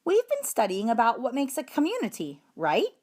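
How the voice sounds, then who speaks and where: A woman voicing a kindly but firm female schoolteacher who addresses her class in a deliberate, instructive way. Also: a little high, huge pitch swings, darkish tone, voice pushed up.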